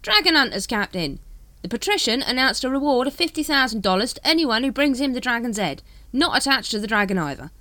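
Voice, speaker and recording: Shifty street salesman guy, lady doing him, pitched way up and pushy, dry close sound. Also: very animated, fairly quick, pushed and bright, no room sound. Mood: wheedling. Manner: wheedling, conspiratorial sales patter. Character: shifty fast-talking male street hawker.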